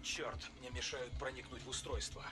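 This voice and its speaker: An actor playing a cocky, focused young hacker in a game audio drama, self-assured and clipped. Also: male voice, mid-range voice, level tone, normal speed, music in the background, natural voice.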